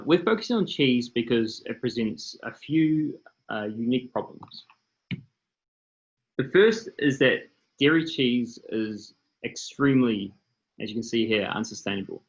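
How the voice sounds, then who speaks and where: Kiwi-accented founder, explanatory panel talk, slightly dull call audio. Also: male voice, medium pitch. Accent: New Zealand. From New Zealand. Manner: explanatory, lots of filler ums and uhs. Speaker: company co-founder and CEO, panelist. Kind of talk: presentation on a video call panel. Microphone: computer mic on a video call.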